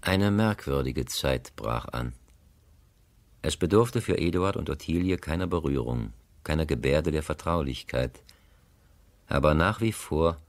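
A man narrating a literary radio play as a solemn, measured storyteller. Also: very deep voice, dry recording. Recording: dry studio sound.

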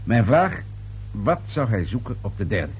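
An actor playing a persistent police inspector, questioning politely but insistently in a radio play. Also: male voice, fairly low pitch, very animated, a little slow, a little mellow, breathy edge, exaggerated voice. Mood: probing.

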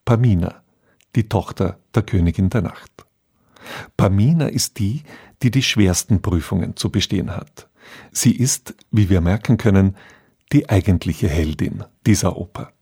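A man giving a scripted, explanatory radio introduction, measured and with deliberate emphasis. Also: deep voice, vocal fry, mellow, breathy edge. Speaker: narrator.

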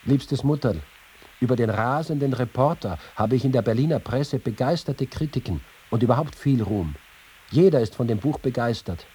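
A cocky reporter reading his own letters on radio, really rough husky voice. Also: mid-range voice, lively intonation, normal speed, warm tone. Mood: confiding. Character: witty, boastful, combative male reporter and writer. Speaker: actor reading letters and writings. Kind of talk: dramatized reading in a radio feature.

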